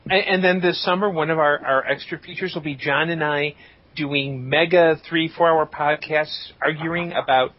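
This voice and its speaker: A man's voice: fairly high pitch, fairly even intonation, normal speed. A man co-hosting a podcast in casual group chat, with a wry, joking manner. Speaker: podcast co-host. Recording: dull, lo-fi podcast audio.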